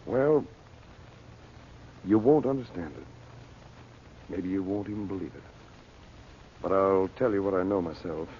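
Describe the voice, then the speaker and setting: Earnest low-voiced radio serial hero. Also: male voice, measured pace, long pauses. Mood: earnest, reassuring. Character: Uncle Frank, earnest, determined mystic adventurer. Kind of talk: old-time radio serial acting. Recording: dull, noisy vintage radio recording.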